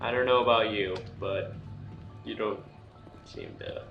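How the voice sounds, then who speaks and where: A man's voice: fairly low pitch, huge pitch swings, a little slow, vocal fry, muffled recording. A man giving casual, sarcastic, silly commentary as he plays a video game. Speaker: let's play host. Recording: roomy echo, faint game music.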